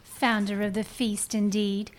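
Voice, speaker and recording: A woman playing a sharp-tongued, indignant wife and mother in a live radio play reading. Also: mid-range voice, level tone, measured pace, natural voice, rough recording.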